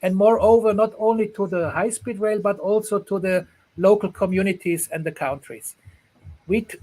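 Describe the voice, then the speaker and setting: Man from Ghana, high voice, earnest panel guest on video call, restarts a lot. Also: a little mellow, low-quality recording. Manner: earnest, repeats phrases, restarts often. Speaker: guest, rail project executive. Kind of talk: online panel discussion.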